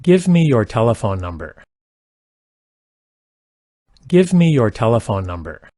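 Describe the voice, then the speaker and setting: A man reading simple English practice sentences aloud, each one twice, in a careful, even delivery. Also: mid-range voice, a little slow, no echo, clean studio sound. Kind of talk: slow repeated sentence reading for learners.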